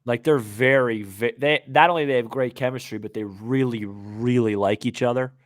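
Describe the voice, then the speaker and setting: A man's voice: mid-range voice, moderate pace, no room sound, studio quality. A man co-hosting a sports podcast in casual talk, repeating words and often checking that the listener follows. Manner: repeats words, checks in with "you know what I'm saying".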